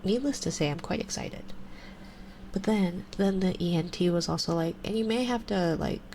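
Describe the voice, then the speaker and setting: Young woman w a stuffy nose, low fry-ish voice, half whispering into a binaural mic, hiss behind. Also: normal speed, rough recording. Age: 20s. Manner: soft and relaxed, drifting into whispers. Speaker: ASMR streamer. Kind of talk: casual chat to a live stream.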